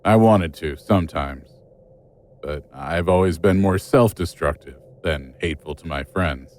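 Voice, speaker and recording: Troubled male investigator: lower register, self-destructive, guarded, hurt, for audio drama. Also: unhurried, darkish tone. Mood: wounded, wary.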